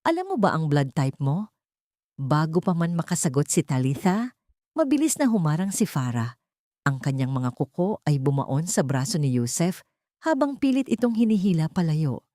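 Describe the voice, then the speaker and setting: A woman's voice: low voice, a little mellow, no room sound, studio quality. A woman with a Filipino accent narrating a dramatic scripted story as an audiobook reader.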